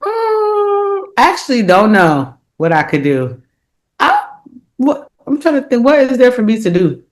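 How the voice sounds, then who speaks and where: Animated woman co-host, lively pitch. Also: a little slow. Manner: laughs often, animated and playful. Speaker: podcast co-host. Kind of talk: casual chat.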